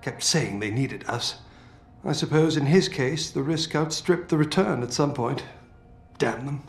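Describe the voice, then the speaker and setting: An actor playing an older British scientist in a video game, feisty and fatherly, with colourful, expressive delivery. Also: mid-range voice, a little slow, gravelly. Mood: wry, weary. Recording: roomy game audio with music.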